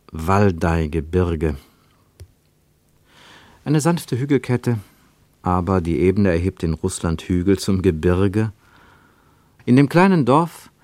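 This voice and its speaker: A man reads literary prose for radio as a narrator, with deliberate, emphatic phrasing and dramatic pauses. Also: on the low side, a little mellow, no room sound.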